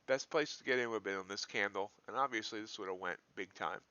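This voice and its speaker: A man's voice: lowish voice, fast talker, pushed and bright, smooth, no room sound. A man hosting a live trading stream, thinking aloud in casual, folksy commentary. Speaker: live-streaming futures trader, host. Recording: dull, lo-fi livestream audio.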